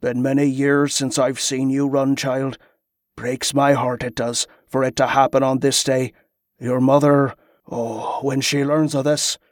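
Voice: mid-range voice, little pitch movement, measured pace, dry recording, clean studio sound, lightly acted. A performer voicing a sternly kind, fatherly country man in an audiobook, scolding in rustic turns of phrase. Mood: sorrowful.

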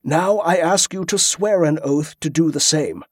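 A calm monk explaining things in a formal, measured tone, as the male narrator reads him. The voice is a man's, a touch high, with a close, dry sound. It plays as audiobook storytelling. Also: husky, no room sound.

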